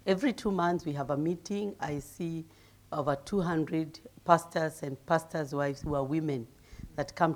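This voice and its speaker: Middle-aged woman preacher from Kenya, deep voice, builds to loud emphatic reading. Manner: grows loud and emphatic when reading scripture; humble, laughs easily. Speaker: guest preacher. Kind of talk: sermon.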